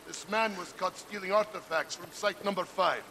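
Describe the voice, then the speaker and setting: A man's voice: high voice, normal speed. An actor playing a ruthless male warlord commander in a video game, cold and threatening. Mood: menacing. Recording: game audio under stream noise.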